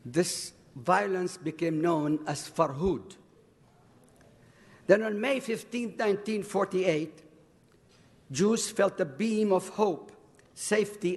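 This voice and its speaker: A man in his eighties, a native Arabic speaker from Iraq, giving prepared testimony at a council meeting, reading slowly and deliberately. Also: sits high, lively intonation, darkish tone. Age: 80s. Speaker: invited speaker. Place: large council chamber. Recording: long hall reverb.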